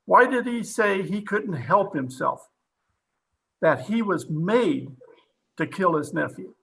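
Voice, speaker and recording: A man playing a stern, frustrated police captain in an old time radio drama, speaking with deliberate authority, recorded over a video call. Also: high-pitched, animated, vocal fry, fairly bright tone, exaggerated voice. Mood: shocked, grave.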